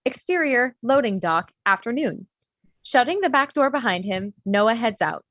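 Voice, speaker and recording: A woman's voice: on the high side, animated, normal speed, dry recording, muffled recording. A woman narrator reading screenplay stage directions in scripted narration.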